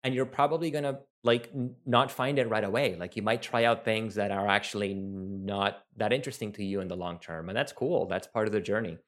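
Relaxed low-voiced man from Venezuela. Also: fairly quick, hardly any pauses. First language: Spanish. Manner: relaxed, rambling with fillers and restarts. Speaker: talk host and researcher. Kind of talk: casual online talk, taking questions.

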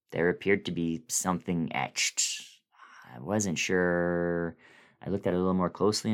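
Male narrator, very low voice, suspenseful and theatrical horror storytelling with pregnant pauses. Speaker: guest reader of a horror story. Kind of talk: dramatic first-person horror story reading.

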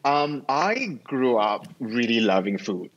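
A young man from Singapore telling his story as a guest, relaxed and halting, with frequent ums and self-deprecating humour. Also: medium pitch, dull audio. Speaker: cheesemonger guest. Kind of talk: casual interview chat.

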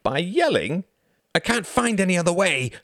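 A man's voice: high-pitched, sing-song, very slow, no room sound, clean studio sound, voice pushed up, full character voice. A man voicing a wounded, desperate young wife, pleading and bitter in an emotional audiobook drama. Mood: exasperated.

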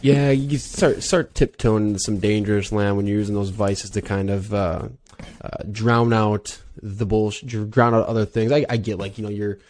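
A man's voice: lowish voice, steady tone, normal speed, few pauses, a little mellow. A man with a Wisconsin accent hosting a casual podcast interview, chatty and rambling. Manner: rambling, chatty, self-deprecating. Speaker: podcast host.

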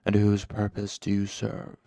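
A man voicing a hooded dark lord in a fantasy story, cool and controlled, speaking with slow, precise patience and quiet menace. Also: lowish voice, completely flat delivery, vocal fry, dry recording, studio quality. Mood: calm interrogation. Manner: slow, patient, precise, sharpening to hard command.

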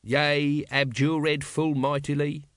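A man's voice: a little high, level tone, slow pace, no echo, studio quality. A man voicing a plain, put-upon underling in a secret lodge, with a matter-of-fact manner. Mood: droning ritual. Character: plain, grumbling lodge underling reciting ritual responses.

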